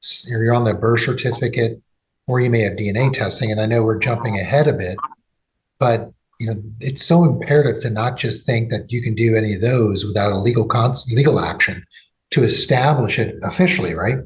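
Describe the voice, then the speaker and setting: A man's voice: mid-range voice, a little fast, poor audio. A man hosting a legal podcast, talking in a loose conversational way with fillers and restarts. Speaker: podcast host and law firm executive.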